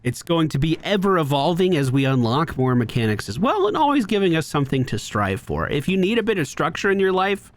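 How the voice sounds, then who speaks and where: A man's voice: a little high, sing-song, fairly quick, hardly any pauses. A man giving casual, playful video game commentary, explaining things expressively as he plays. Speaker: video game player and host.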